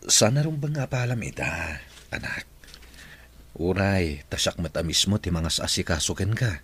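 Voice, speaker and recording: A man with an Ilocano accent playing a gentle, sorrowful widowed father in a radio drama. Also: deep voice, animated, normal speed, slightly rough, exaggerated voice. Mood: tender, sorrowful. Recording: faint noise behind voice.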